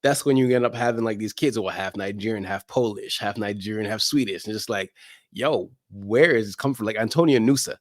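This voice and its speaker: A man's voice: lowish voice, a little fast, no room sound, clean studio sound. A man chatting casually as an interview guest, emphatic and slangy, often repeating phrases to drive a point home. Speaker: guest, soccer podcast creator. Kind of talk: animated casual interview chat.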